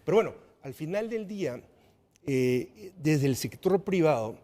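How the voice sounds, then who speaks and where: A man's voice: a little high, expressive, unhurried, mellow, in a large hall. A man from Peru with a Peruvian accent, a lawyer guest explaining corruption and compliance on a panel show. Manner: storytelling, explanatory. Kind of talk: panel discussion.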